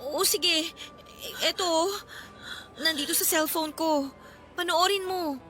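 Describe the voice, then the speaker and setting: Very high-pitched, flustered student friend. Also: female voice, slow, over music. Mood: worried, reluctant. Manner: hesitant, flustered, stammering. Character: flustered, worried young female student friend. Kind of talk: Filipino radio drama acting.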